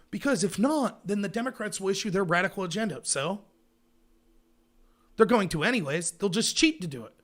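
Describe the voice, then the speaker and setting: A man's voice: extremely high pitch, a bit bright. A man talking politics on a livestream in an emphatic, sarcastic way, firing off rhetorical questions.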